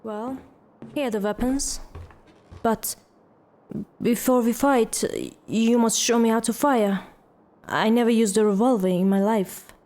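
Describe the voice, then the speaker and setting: Woman playing a high-voiced, haughty, fiery widow. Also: fairly even intonation, measured pace, long pauses, warm tone, lightly acted. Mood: bold. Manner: haughty, declamatory, theatrical. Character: proud, fiery, defiant widowed lady. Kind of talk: theatrical audio drama acting.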